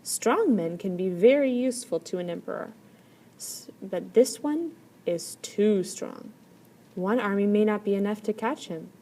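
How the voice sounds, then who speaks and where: A woman's voice: mid-range voice, lively intonation, warm tone. Woman reading as a wary, imperious emperor. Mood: wary scheming. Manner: deliberate, imperious. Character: wary, scheming male emperor of China. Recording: clear background noise.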